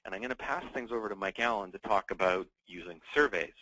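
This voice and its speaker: A man hosting a business webinar, explaining terms in a measured way before handing over to a guest. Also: low voice, pushed and bright, slight background noise, rough recording. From North America. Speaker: webinar host.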